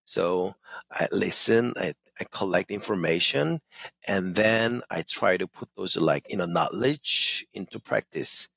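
Man with a gravelly low voice. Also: animated, unhurried, fairly bright tone, no room sound. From Korean-American. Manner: earnest, hesitant, many fillers and restarts. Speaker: emcee and motivational speaker, guest. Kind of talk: radio interview, casual answers. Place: radio studio.

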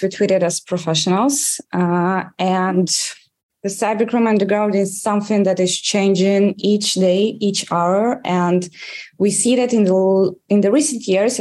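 A woman's voice: medium pitch, darkish tone. Russian-accented woman explaining carefully. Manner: measured, explanatory, with frequent "uh" pauses. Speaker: guest, threat research director. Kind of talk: interview answers.

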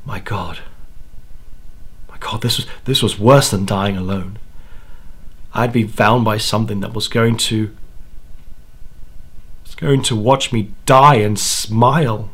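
Man playing a slow, halting, anguished dying grandfather. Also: on the low side, a bit bright, slightly rough, heavily acted. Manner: halting, repeats words, dramatic. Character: anguished dying old grandfather confessing his terrible bargain. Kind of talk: dramatic first-person horror monologue.

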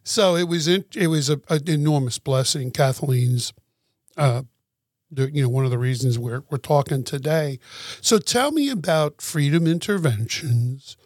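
A man with a fairly high voice who hosts in a gentle, unhurried way, speaking slowly and laughing often. The recording is dry and close. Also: expressive, no echo. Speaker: interview host. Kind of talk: casual interview talk.

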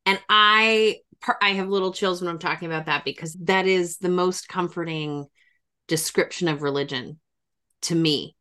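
A woman's voice: on the low side, steady tone, moderate pace, bright, forceful tone. A woman hosting a reflective interview, speaking in an effusive, emphatic way. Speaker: interviewer, podcast host.

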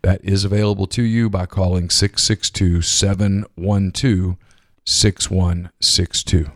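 A man's voice: sits low, steady tone, a little slow, dry recording. A man co-hosting a podcast in a studio, chatty and rambling through ads and small talk. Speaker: podcast co-host. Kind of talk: casual sports talk and live ad reads. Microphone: studio microphone.

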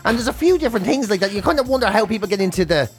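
A man's voice: high-pitched, expressive, rapid-fire, faint music behind. A middle-aged Irish man in casual, jokey podcast banter with a co-host, swearing now and then. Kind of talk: casual comic chat.

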